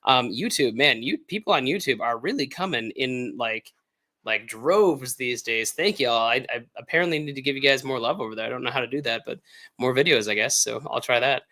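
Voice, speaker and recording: A man with an American accent, hoarse from illness, chatting casually and upbeat as the host of a livestream Q&A, with lots of fillers. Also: mid-range voice, a little fast, pushed and bright. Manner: rambling, filler-heavy, upbeat. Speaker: author hosting a livestream Q&A.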